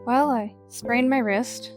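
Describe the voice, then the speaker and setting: A woman's voice: a little high, steady tone, slow, music in the background. An actress playing a bruised teenage girl who is bossy and teasing.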